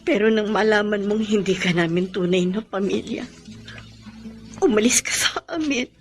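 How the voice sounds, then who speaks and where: A woman's voice: mid-range voice, normal speed, soft background music, lightly acted. A Filipino woman playing a loving, worried foster mother in a radio drama, tender and emotional. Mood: pained, worried.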